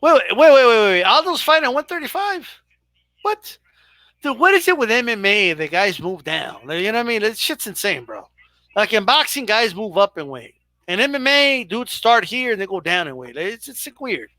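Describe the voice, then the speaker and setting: A man's voice: high voice, very animated, moderate pace, sharp tone, dry recording. A young man hosting a live boxing stream, chatting casually and profanely with his viewers. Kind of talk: casual live commentary to chat.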